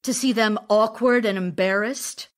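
Slow, ardent stage actress in love played by a woman. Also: mid-range voice, natural voice. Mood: hurt, pleading. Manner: emotional, theatrical, deliberate phrasing. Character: Olga Knipper, ardent Russian stage actress and lover. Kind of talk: audio drama acting, letters read as speech.

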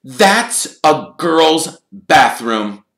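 A reader acts a pompous storybook prefect, an older schoolboy who scolds loudly. The pitch is pushed high and the room echoes. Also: sing-song, very slow, exaggerated voice. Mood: shocked. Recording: roomy echo.

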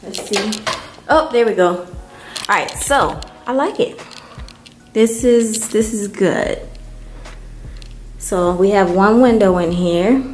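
A woman vlogger giving a casual, unhurried walkthrough of an empty apartment, filmed on a handheld camera. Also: mid-range voice, soft background music. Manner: unhurried, chatty, many ums. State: hot and worn out from moving.

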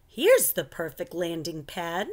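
A woman's voice: on the low side, big pitch swings, slow, darkish tone, exaggerated voice. A woman voicing a cheerful, adventurous, unflappable schoolteacher with a breezy, commanding manner in a storybook. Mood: gleeful.

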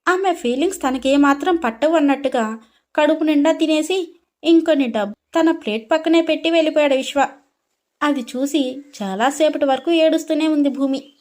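A woman narrates a scripted story. Her voice is high. She reads as a storyteller, linking the characters' dialogue with description. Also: normal speed, a little mellow.

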